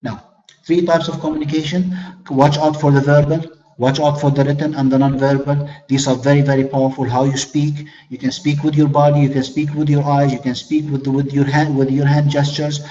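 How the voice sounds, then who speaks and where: A man whose first language is Arabic teaches a leadership webinar, his voice sitting a touch higher than most men's. He is earnest, asks rhetorical questions and restates his points. The audio is dull and lo-fi, as from a web call. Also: level tone, fairly quick, mellow. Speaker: corporate trainer. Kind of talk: webinar lecture.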